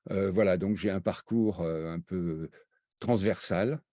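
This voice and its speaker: An older man speaks in a deep, mellow voice, pausing often and restarting his sentences. He recalls his past as an interview guest. The sound is dry and close. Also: no echo. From raised half in Brazil, half in France. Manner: halting, many fillers and restarts. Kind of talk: hesitant spoken recollection.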